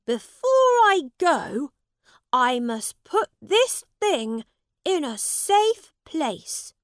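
Woman playing storybook boy prince, lordly, eager, very high, slow and emphatic, dry close sound. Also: sing-song, no room sound, exaggerated voice. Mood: concerned, commanding. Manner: emphatic, imperious, drawn-out words. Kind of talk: storybook character voice.

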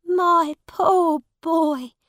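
A woman's voice: extremely high pitch, steady tone, extremely slow, a bit bright, dry recording, clean studio sound, pitched above the performer's own voice, full character voice. A woman voicing a worried, tender mother of a poor family in a storybook reading. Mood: tender concern. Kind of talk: storybook character voice.

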